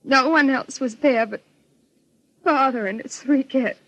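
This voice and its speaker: An anxious, grieving young lady of good family in a vintage radio melodrama. Her American voice is fairly high and rough-edged with huskiness, and she speaks with earnest, polite drama. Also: measured pace, fairly bright tone, no echo, low-quality recording. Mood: earnest, troubled. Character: anxious, bereaved, well-bred daughter of a murdered man. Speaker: radio actress. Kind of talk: old-time radio melodrama acting.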